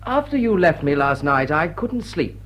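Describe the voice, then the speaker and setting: An actor playing a smitten English aristocrat in a radio play, with an upper-class accent and an urbane, drily witty, tender manner. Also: male voice, on the high side, big pitch swings, normal speed, a bit bright. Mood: wry confessing. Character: smitten, wry English aristocrat lord wooing a woman. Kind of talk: British radio play acting.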